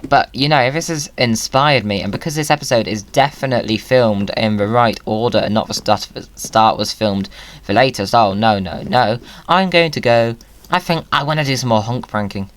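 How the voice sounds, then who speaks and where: A man's voice: mid-range voice, moderate pace. A man giving casual, playful gaming commentary with whimsical wording. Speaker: video creator.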